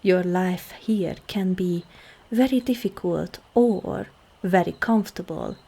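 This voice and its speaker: A woman playing a seductive, regal demon queen in an ASMR roleplay, calm and commanding, with slow, formal speech that often drops into breathy whispers. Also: mid-range voice, heavily acted. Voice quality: breathy, husky.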